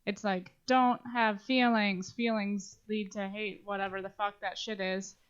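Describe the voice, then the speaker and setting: A woman's voice: medium pitch, steady tone, a little slow, clear, resonant tone. Casual woman podcaster, slightly dull audio. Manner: informal, swearing. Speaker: podcast co-host. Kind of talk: casual discussion.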